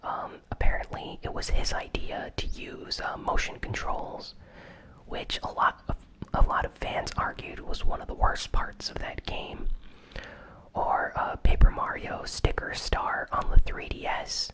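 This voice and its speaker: Whispered ASMR gaming news host. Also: unhurried. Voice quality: soft unvoiced whisper. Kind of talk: whispered ASMR news recap with casual commentary.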